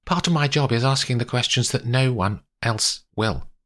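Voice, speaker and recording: Man voicing a composed woman lawyer, lifted pitch. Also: a bit bright, close to an everyday voice. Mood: firm, tactful. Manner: measured, precise, polite. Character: calm, professional woman lawyer, tactful but direct.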